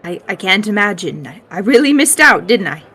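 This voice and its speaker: A woman's voice: mid-range voice, very animated, brisk, pushed and bright, breathy edge, exaggerated voice. Sheepish, polite male adventurer played by a woman. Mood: curious, gentle. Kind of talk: tabletop roleplay.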